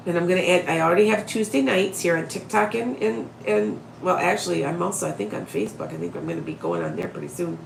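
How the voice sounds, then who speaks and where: A woman's voice, low-pitched and gravelly, with a raspy edge from a head cold that leaves her sniffly and a little short of breath. She chats casually with live-stream viewers, rambling and often restarting her sentences. The sound is roomy and echoey. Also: big pitch swings, quick pace, few pauses, a little hiss or hum. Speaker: psychic medium live-streaming to followers.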